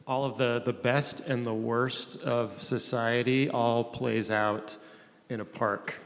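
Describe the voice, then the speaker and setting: A man speaking on a conference panel, talking in halting phrases with many restarts. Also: mid-range voice, little pitch movement. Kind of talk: panel discussion. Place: conference room. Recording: echoey hall, faint noise.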